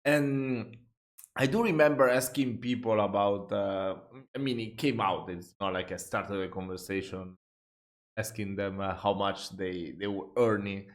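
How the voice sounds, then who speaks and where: A man's voice: fairly low pitch, steady tone, unhurried. A young Italian man talks casually on a headset mic in a small room at home. He rambles, trips over his words, corrects himself and laughs at his own asides. Italian is his first language. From Italy. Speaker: reaction video host. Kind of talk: casual reaction chat.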